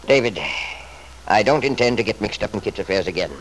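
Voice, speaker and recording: A man's voice: fairly low pitch, lively intonation, fairly quick, natural voice. An actor playing a stubborn, selfish middle-aged father in an old-time radio soap, blustering and self-justifying in an emphatic way. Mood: indignant, defensive. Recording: noisy vintage radio drama recording.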